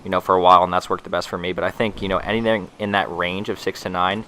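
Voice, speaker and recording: Young man, stuffy from a cold, low pitched, rapid, casual game tutorial voice-over, filler-heavy. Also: flat delivery, pushed and bright, a little hiss or hum. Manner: loose and chatty, many fillers and restarts. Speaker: gaming YouTuber.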